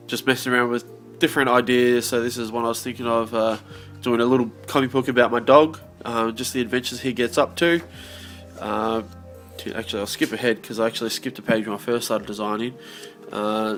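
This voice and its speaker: A young man vlogging casually about his comic work, relaxed and rambling with lots of fillers, a bit sniffly with his voice slightly off. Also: medium pitch. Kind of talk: casual video diary.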